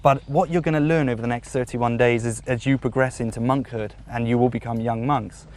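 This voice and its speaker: A man presenting a documentary to camera outdoors at a rural monastery, speaking in a formal, measured way with some repeated phrasing, and chatting cheerfully with children. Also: medium pitch, fairly even intonation, a little hiss or hum. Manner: jokes and chats cheerfully with children.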